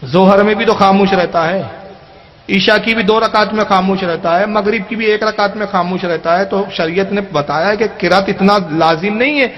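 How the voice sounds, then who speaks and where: Man, high-pitched, emphatic sermon delivery, argumentative, dull low-fidelity recording. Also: normal speed, a bit bright. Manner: emphatic, argumentative, with rhetorical questions. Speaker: Islamic cleric. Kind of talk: religious question-and-answer sermon. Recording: dull, lo-fi audio.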